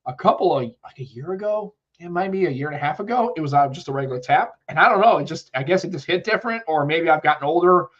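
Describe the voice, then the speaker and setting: A man's voice: a little high, animated, fast, hardly any pauses, fairly bright tone, muffled recording. A man co-hosting a live tech podcast, a bit congested from a cold, rambling and reading out chat messages. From United States. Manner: rambling, chatty, reads out chat comments. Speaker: podcast co-host. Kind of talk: casual live-stream chat.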